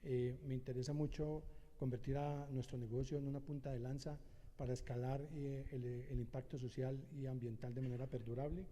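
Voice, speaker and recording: A middle-aged Colombian man introducing himself as a social entrepreneur, halting with many filler sounds. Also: medium pitch, little pitch movement, mellow, big-room sound. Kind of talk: short personal introduction on a panel.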